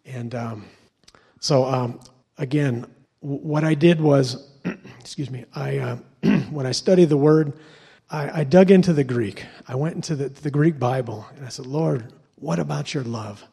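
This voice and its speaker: A man's voice: mid-range voice, measured pace, vocal fry, dark tone, breathy edge. A man from Ohio giving a sermon to a church congregation as a guest lay preacher, stumbling and repeating words with lots of fillers. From Ohio, USA.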